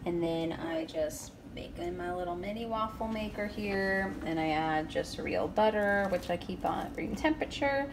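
A woman in her thirties talks casually into a phone camera in her home kitchen. Her voice sits a little low, and she chats in an upbeat, rambling way with plenty of ums and likes. A faint room hum runs underneath. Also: normal speed, few pauses. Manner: chatty, upbeat, lots of fillers like "um" and "like". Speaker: keto vlogger. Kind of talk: casual grocery haul vlog.

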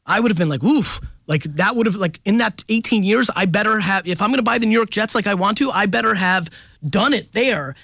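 Forty-ish guy on a radio show, pushy and animated, bit high voice, dry close studio mic. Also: fairly quick, vocal fry, no echo. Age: 40s. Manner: emphatic and animated, keeps asking "right?". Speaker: guest. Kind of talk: casual radio interview. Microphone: studio microphone up close.

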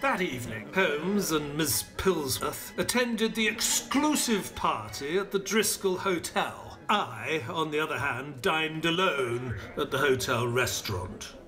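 A man playing a put-upon gentleman doctor and narrator in an audio play, measured and wry. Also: on the high side, animated, soft background music. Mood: measured narration.

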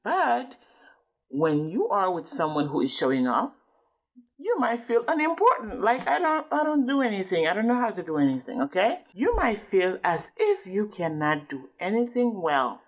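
A woman's voice: medium pitch, big pitch swings, moderate pace. A woman doing a teaching read-aloud, patiently explaining and often checking understanding with questions. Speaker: adult reading a book with a child.

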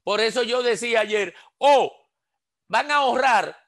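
Older Dominican man, high-pitched, bright forceful tone, headset call, emphatic rhetorical style. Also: animated, unhurried. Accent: Dominican Spanish. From Dominican Republic. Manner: emphatic, rhetorical questions, gestures while talking. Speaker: political commentator on a radio panel. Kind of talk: impassioned political commentary. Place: small indoor room. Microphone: headset on a video call.

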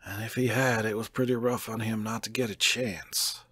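A man's voice: fairly low pitch, fairly even intonation, normal speed, pushed and bright, breathy edge, no room sound. A man reading aloud and voicing a plain-spoken, easygoing man. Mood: frank.

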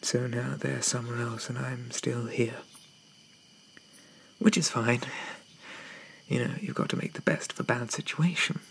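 A man playing a shy, gentle, polite Victorian zombie in a soft-spoken ASMR roleplay, stammering and hesitant with old-fashioned courtesy. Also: mid-range voice, fairly even intonation, unhurried, gravelly, pauses often, slight background noise. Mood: wistful, resigned.

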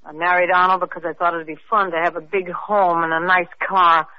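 An actress playing a brash, flippant young wealthy widow being questioned, in 1940s radio acting style. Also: female voice, fairly low pitch, flat delivery, fairly quick, lightly acted. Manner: flippant, sharp-tongued, mocking. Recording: muffled old radio recording.

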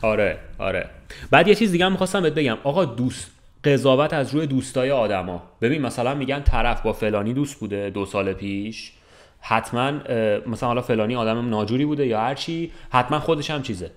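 A young man talks in a medium-pitched voice. He explains his points in loose, everyday speech, as a podcast co-host would, often checking that he is being followed. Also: animated. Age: 20s. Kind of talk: casual chat. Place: small bright room with large window.

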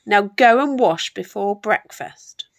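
A woman voicing a huge, motherly, bossy giantess with a booming, effusive manner for a storybook. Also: big pitch swings, slow pace, heavily acted. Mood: scolding.

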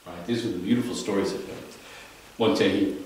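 A man in his fifties, a priest giving a daily homily in a small chapel, telling a saint's story in a relaxed, conversational way, recorded by a camera across the room. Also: low voice, brisk. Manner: conversational storytelling, fillers and restarts. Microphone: far camera. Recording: echoey chapel from far off.

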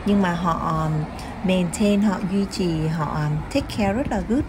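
A woman's voice: fairly low pitch, measured pace, background noise. A woman vlogger chatting casually to her viewers as she walks a city harbor with her phone, dropping English words into her talk. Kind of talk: casual walking-tour narration. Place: outdoors by a city harbor, filming while walking.

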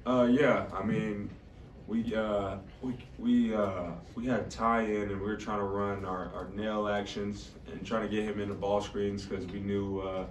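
Give a young man in his twenties with a lowish, very even voice. He answers like an athlete at a press conference, halting as he goes. The room is echoey. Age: 20s. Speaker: college basketball player. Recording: echoey room, distant mic, faint noise.

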